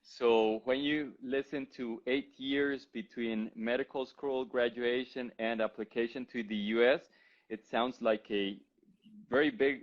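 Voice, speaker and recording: Costa Rican man on dull call audio. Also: medium pitch. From Costa Rica. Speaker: interview guest, physician. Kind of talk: conversational interview answers.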